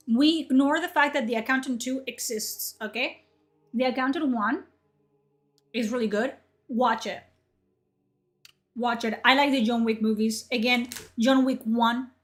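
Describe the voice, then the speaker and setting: A woman's voice: fairly high pitch, a bit bright. A young woman from Spain, a native Spanish speaker, chatting in a rambling way on her livestream and answering chat. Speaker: livestream host.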